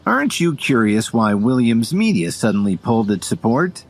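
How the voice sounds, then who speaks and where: A man playing a calm, defiant young hero in an audio drama, speaking in a firm, controlled way. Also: mid-range voice, normal speed. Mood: cool, confident.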